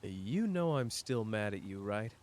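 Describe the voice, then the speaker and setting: A man playing a powerful, cocky but wounded sorcerer in an intimate audio roleplay, talking casually and teasingly straight to the listener with pauses. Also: on the low side, sing-song, darkish tone. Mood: calm, serious.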